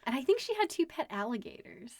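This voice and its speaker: A woman co-hosting a podcast in casual chat, talking quickly and laughing often. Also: high voice, very animated, darkish tone, no room sound. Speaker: podcast co-host.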